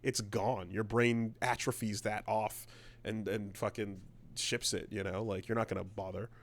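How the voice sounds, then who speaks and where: A man co-hosting a podcast in casual chat, rambling and animated, swearing freely. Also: lowish voice, unhurried, slight background noise. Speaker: podcast co-host.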